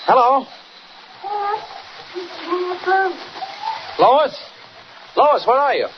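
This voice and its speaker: Through a muffled, very noisy old radio recording comes an earnest American newsman. His voice sits high for a man. He speaks in the hesitant, well-meaning way of a mild-mannered 1940s serial hero. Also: expressive, a little slow. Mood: urgent, anxious. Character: earnest mild-mannered male newspaper reporter, anxious but reassuring.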